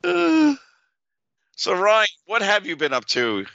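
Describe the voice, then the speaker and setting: A loud man co-hosting a podcast, laughing often and joking crudely. His voice swings widely in pitch and has a bright, sharp edge. The sound is dry and a bit dull. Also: wildly swooping pitch, slow, creaky, no room sound. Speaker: podcast co-host. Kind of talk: casual podcast banter.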